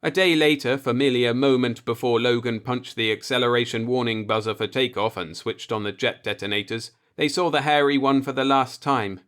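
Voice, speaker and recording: A man's voice: medium pitch, steady tone, normal speed, hardly any pauses, a bit bright. Man narrating a science fiction story. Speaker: audiobook narrator.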